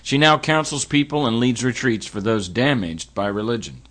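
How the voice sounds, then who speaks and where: A middle-aged man hosting a podcast, talking in an earnest, reflective way that turns halting when he speaks of personal matters. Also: medium pitch, a bit bright. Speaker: podcast host. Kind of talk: podcast intro and interview.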